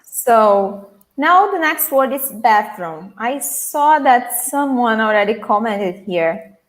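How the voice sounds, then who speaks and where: A woman with a Brazilian accent and a moderately high pitch talks in a casual, conversational way. She is a native Portuguese speaker working as a language teacher in a live online class. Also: expressive, slow pace, low-quality recording.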